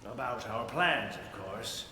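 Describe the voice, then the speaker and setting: An actor playing a scornful, fanatical male cult villain in a horror game, contemptuous and deliberate. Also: mid-range voice, expressive, sharp tone, exaggerated voice. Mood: scornful, zealous. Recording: echoey, noisy game ambience.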